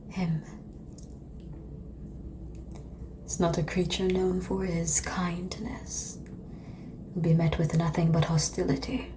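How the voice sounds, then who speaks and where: Over audible background ambience, a woman plays an ancient female vampire, seductive and composed. Her voice is lowish, soft and breathy, often sinking to a whisper. She speaks very slowly and formally, in an ASMR roleplay manner. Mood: coolly intrigued. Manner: slow, measured, formal phrasing with long pauses. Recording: clear background noise and ambience.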